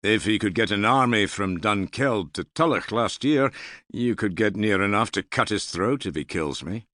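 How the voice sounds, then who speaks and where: A man's voice: fairly low pitch, animated, moderate pace, fairly bright tone, no echo, pitched above the performer's own voice. A man voicing a self-assured Norse earl in an audiobook, with a dry, amiable irony. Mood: wry, amiable. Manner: dry, amiable, gravely ironic. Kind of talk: audiobook character dialogue.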